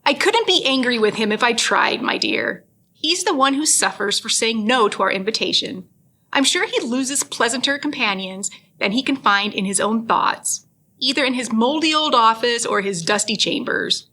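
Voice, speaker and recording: Woman playing merry nephew Fred, high and bright. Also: lively intonation, normal speed, heavily acted. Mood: merry, forgiving. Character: good-natured, merry young nephew Fred, forgiving. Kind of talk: audio drama acting.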